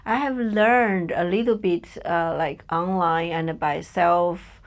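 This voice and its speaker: A woman with a Chinese accent, a native Chinese speaker, talks slowly as a podcast guest in a personal interview. She pauses and hunts for words with many fillers. Her voice carries a gravelly fry. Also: on the low side, steady tone. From China.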